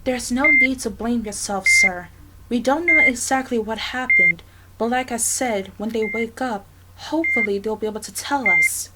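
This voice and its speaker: A calm female hospital staffer played by a woman with a fairly high voice. She speaks politely and carefully, with some hesitation, as in an amateur audio drama. The recording is very noisy. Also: moderate pace, natural voice. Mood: reassuring. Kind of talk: dramatic ASMR roleplay acting.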